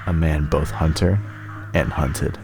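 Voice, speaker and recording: Deep-voiced man narrating a screenplay over music. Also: fairly even intonation, takes it slowly, creaky. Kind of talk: dramatic scripted narration.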